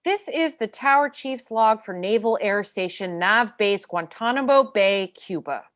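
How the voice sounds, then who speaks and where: A woman's voice: medium pitch, animated, unhurried, fairly bright tone. A woman playing a dogged military defense lawyer in a dramatic script reading, questioning a witness in a pointed, insistent way. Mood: formal.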